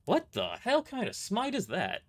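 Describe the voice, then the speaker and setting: An incredulous mercenary from a sci-fi audio drama, acted by a man, pitched up from a normal male register. He questions everything bluntly, sounding startled and skeptical. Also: wildly swooping pitch, normal speed, exaggerated voice.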